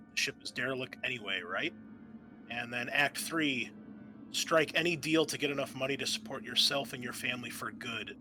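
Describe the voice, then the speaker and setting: A man's voice: medium pitch, pushed and bright. A man acting as game master, casually explaining and reviewing a roleplaying session with his players. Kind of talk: casual game debrief, explaining rules and agendas. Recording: faint background noise.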